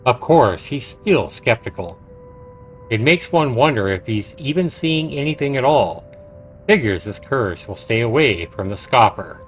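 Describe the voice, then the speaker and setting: A man reading a visual novel aloud on a live game stream, with some halting pauses and stumbles. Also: mid-range voice, animated. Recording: game music behind. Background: video game music.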